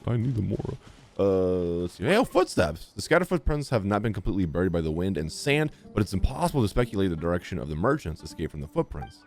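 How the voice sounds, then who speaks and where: A young man with a very low voice streams a game. He is casual and reactive, swinging in pitch between read-aloud text and sarcastic asides, with music faintly behind. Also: sing-song, fast talker. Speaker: gaming streamer. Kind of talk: casual let's-play commentary, reading game text aloud.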